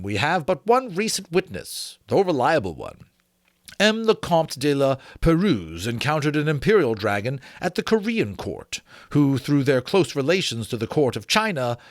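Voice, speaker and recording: A man's voice: mid-range voice, no echo, studio quality. A man hosting a book read-aloud, shifting between theatrical, formal reading and informal asides. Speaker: host reading books aloud.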